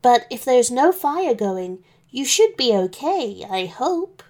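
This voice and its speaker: A woman's voice: on the high side, very animated, slow, a little mellow, voice pushed up, full character voice. A woman voicing a friendly, eager male wolf with a chatty, folksy manner in a children's story. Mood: hesitant, helpful.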